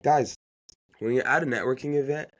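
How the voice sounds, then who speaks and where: A man's voice: medium pitch, a little fast, a bit bright. A man who grew up speaking Haitian Creole gives unscripted motivational business advice as a podcast host, emphatically repeating key phrases and posing rhetorical questions.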